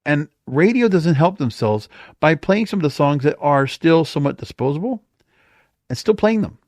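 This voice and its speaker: A man's voice: on the high side, big pitch swings, moderate pace, darkish tone, dry recording, clean studio sound. A man hosting a podcast alone in a casual, conversational, rambling way, as if thinking aloud. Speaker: podcast host. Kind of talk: casual solo monologue. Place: home or small studio.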